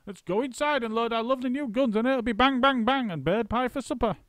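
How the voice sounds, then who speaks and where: A man voicing a spiteful, hysterical nasty old woman in a shrill screaming falsetto. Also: lively intonation, fast talker, no room sound, clean studio sound. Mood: gleeful.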